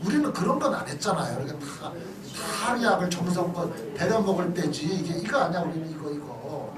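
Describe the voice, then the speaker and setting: High-pitched man lecturing in an echoey hall. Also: expressive, brisk, crowd noise. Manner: chatty, engages listeners with questions and explanatory asides. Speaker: pastor giving a lecture. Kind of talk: church history lecture, conversational. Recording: echoey hall, distant mic, noisy background.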